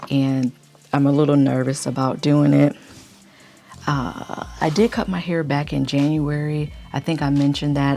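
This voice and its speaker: Woman, low-pitched gravelly morning voice, quiet casual vlog chat, faint background music. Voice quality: deep morning voice. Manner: speaking low to stay quiet. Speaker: lifestyle YouTube vlogger.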